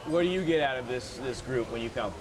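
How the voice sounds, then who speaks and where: A man's voice: mid-range voice, fast, heavy background noise. A man interviewing a group at an outdoor cafe, asking teasing questions. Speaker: reporter interviewing. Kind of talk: casual interview chat.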